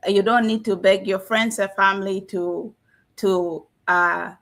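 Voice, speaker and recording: A middle-aged woman from South Sudan, a guest telling her story in an earnest, animated way over a video call. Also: medium pitch, a little slow. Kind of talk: testimonial interview.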